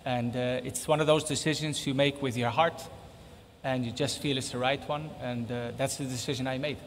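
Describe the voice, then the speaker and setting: A middle-aged man whose first language is Spanish, giving thoughtful, measured interview answers and repeating points for emphasis. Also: mid-range voice, fairly even intonation, in a large hall, slight background noise. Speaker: interviewee, football technical advisor.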